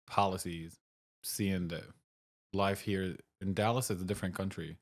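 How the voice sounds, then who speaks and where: A man's voice: low voice, fairly even intonation, moderate pace. A middle-aged man from Syria, a cafe owner giving blunt, short answers in a casual interview.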